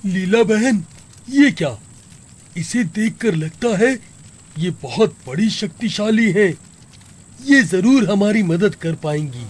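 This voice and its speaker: Man acting anxious high-voiced village man. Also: animated, slow, heavily acted. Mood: worried. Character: alarmed, concerned village man. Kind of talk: Hindi horror-comedy audio storytelling.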